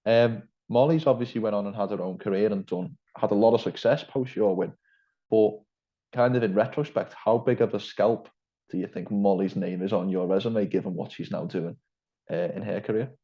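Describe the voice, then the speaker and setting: Interviewer, man, Liverpool accent, low dark voice, lo-fi audio. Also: normal speed. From England. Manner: wordy, hedging questions. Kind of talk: interview over a call.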